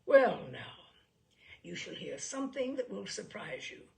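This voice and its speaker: A woman's voice: mid-range voice, very animated, unhurried, gravelly, darkish tone, full character voice. A woman voicing a bluff, hearty, chatty older male admiral in an audiobook, blustery and rambling with emphatic delivery. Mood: eager, confiding. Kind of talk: audiobook character dialogue.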